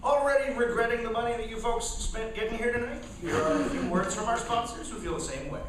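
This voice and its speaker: A man's voice: very high voice, lively intonation, fast talker. A man announcing sponsor spots for a live radio theater in a mock commercial style. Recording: echoey hall, distant mic, faint noise.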